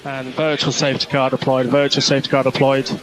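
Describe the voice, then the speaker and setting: A man's voice: medium pitch, little pitch movement, quick pace. A man working as a race engineer, giving clipped instructions over team radio and repeating the key calls. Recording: noisy team radio transmission.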